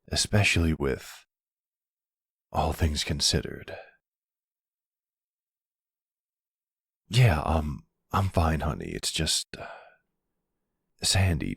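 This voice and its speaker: A man playing a charming, weary older mobster boyfriend in an ASMR audio roleplay, halting and tender in his delivery. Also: no room sound, studio quality, full character voice. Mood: tender, uneasy. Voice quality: very deep, gravelly.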